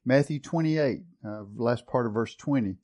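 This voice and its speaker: A man's voice: medium pitch, normal speed, a little mellow. A man teaching a Bible study session. He talks in an earnest, reflective way, reads and compares scripture translations, and often repeats words while he finds the phrase.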